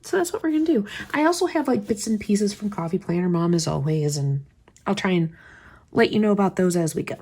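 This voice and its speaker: A woman's voice: medium pitch, animated, fairly quick, darkish tone. A woman with a slight cold and a stuffy voice, chatting casually as she plans, thinking aloud and often dropping to a whisper. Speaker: planner vlogger. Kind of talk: casual craft chat while planning.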